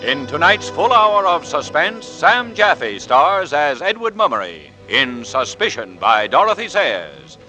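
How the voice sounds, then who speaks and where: A man's voice: fairly high pitch, very animated, a little slow, few pauses, poor audio. Dramatic 1940s male radio announcer, music beneath. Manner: formal, dramatic. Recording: vintage radio broadcast with music.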